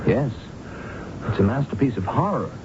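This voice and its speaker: A man's voice: medium pitch, sing-song, normal speed, background noise, lightly acted. An actor playing a courteous, earnest and sensitive male visitor in a radio drama, speaking politely and expressively. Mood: horrified. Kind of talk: 1970s radio drama acting and narration. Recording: muffled old radio broadcast, music behind.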